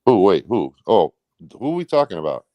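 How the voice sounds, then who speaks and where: A man's voice: low voice, sing-song, moderate pace, no room sound, lo-fi sound. A man answering questions in a casual interview, offhand and somewhat defensive, often repeating himself. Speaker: interview guest, truck driver.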